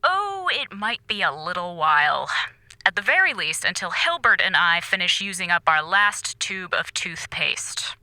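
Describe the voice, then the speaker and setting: Stern, dry station commander. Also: female voice, fairly low pitch, lively intonation, measured pace, sharp tone, dry recording, heavily acted. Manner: curt, dryly authoritative. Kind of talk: audio drama acting.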